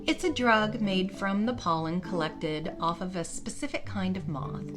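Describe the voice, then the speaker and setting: A woman voicing a calm female spa attendant in a fantasy story, explaining things matter-of-factly. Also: medium pitch, measured pace, close to an everyday voice. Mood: reassuring, explaining. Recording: music behind the voice.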